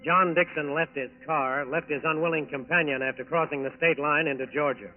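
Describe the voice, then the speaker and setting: A man's voice: on the high side, a little fast. Portentous male narrator on tinny old radio. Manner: emphatic, portentous. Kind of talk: dramatic scripted narration, 1940s radio. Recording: tinny 1940s radio recording.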